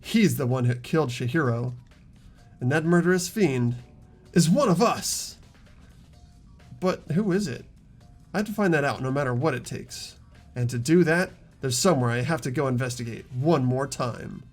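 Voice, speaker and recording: A man's voice: medium pitch, moderate pace, soft background music, lightly acted. A man voicing an earnest, anxious teenage schoolboy protagonist, narrating dramatically as in a visual novel. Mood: determined. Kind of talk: visual novel character reading.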